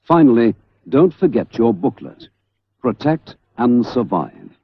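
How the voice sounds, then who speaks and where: A British man reading a 1970s public information film script in a measured, formal, instructional way. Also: medium pitch, very animated, husky, no room sound. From United Kingdom. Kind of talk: scripted narration, 1970s civil defence announcement. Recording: dull, band-limited 1970s film soundtrack.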